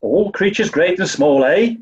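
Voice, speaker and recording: An actor playing a cheerful, oblivious upper-class British toff in a 1940s-style radio comedy. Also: male voice, high-pitched, expressive, measured pace. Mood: jaunty. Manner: jaunty, genial, oblivious. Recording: dull, muffled recording.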